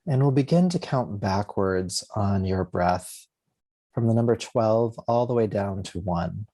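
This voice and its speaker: A man leading a guided meditation as a yoga nidra teacher, speaking gently and soothingly in spaced, unhurried phrases. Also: on the low side.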